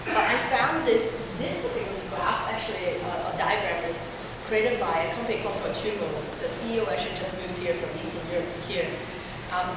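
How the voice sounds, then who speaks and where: A woman from Hong Kong presents at a conference in a loose, conversational way, full of ums and restarts. Her voice sits at a middle pitch and reaches the mic from far off. A long, echoey hall reverb and clear room noise surround her. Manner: conversational, filler-heavy presenting. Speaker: conference speaker. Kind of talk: conference talk.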